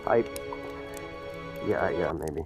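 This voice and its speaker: A man's voice: a bass voice, lively intonation, extremely slow, gravelly, heavily acted. An actor playing a nervous, bitter husband trapped by gangsters in an old radio thriller, hesitant and telling his own story. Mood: nervous, stammering. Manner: hesitant, stammering under pressure. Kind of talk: 1940s radio thriller acting. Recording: old radio recording with loud music.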